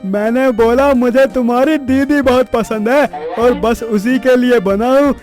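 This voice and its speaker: A man's voice: very high-pitched, a little slow, darkish tone, faint music behind. A man playing a wisecracking, loving young husband in a cartoon story, teasing and wry.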